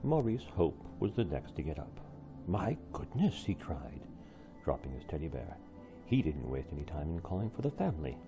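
Deep, creaky man reading a storybook. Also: sing-song, brisk, music in the background. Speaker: teacher reading aloud. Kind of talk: expressive storybook read-aloud.

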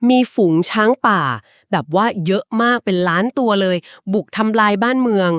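Woman cohost telling an old legend like a chat, polite little pauses, dry close mic. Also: medium pitch, clear, resonant tone, dry recording. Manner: explains at length with polite particles and filler pauses. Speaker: podcast co-host. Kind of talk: conversational storytelling of a folk legend.

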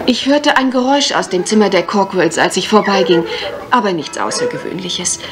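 An actress playing an anxious, genteel young guesthouse owner in a German radio crime drama. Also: female voice, medium pitch, lively intonation, fairly quick, natural voice. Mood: troubled recounting.

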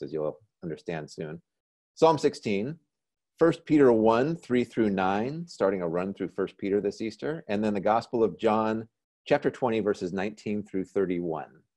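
Brisk middle-aged man, lowish voice. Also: a little mellow. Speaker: podcast co-host introducing the readings. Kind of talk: scripted podcast introduction.

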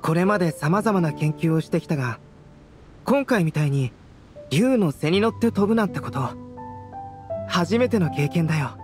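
Man acting as cerebral young male scholar, video game, high voice, gentle, thoughtful, clear background music. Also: lively intonation, moderate pace, pauses often, close to an everyday voice. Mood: calm, pleased. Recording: studio voice over guitar game music.

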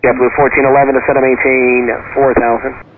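A man's voice: medium pitch, flat delivery, a little fast. A man working as an air traffic controller, giving procedural, clipped instructions over a radio. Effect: radio transmission. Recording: tinny, noisy aviation radio.

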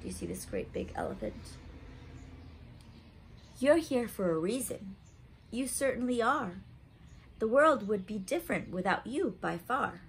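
A woman's voice: medium pitch, measured pace, pauses often, a little hiss or hum, poor audio. A woman in her thirties hosting story time for young children, speaking expressively and simply, as if straight to a child, in a room at home. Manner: expressive, simple child-directed talk with questions. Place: small room at home, indoors.

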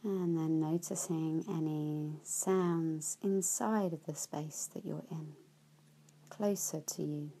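A woman leading a guided yoga nidra relaxation, speaking softly and often trailing into whispers at phrase ends. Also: sits low, steady tone. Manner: soft, slow, with whispered phrase endings.

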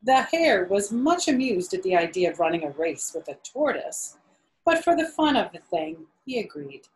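A woman's voice: lowish voice, sing-song, normal speed, rough recording. A middle-aged woman reading and explaining a story to children, using simple explanations and questions for young listeners. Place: indoors, small living room on a sofa.

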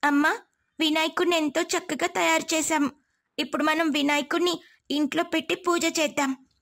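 A woman voicing a gentle, sorrowful young orphan girl in an earnest, pleading way for a story. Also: high-pitched, flat delivery, moderate pace, creaky, voice pushed up. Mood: eager.

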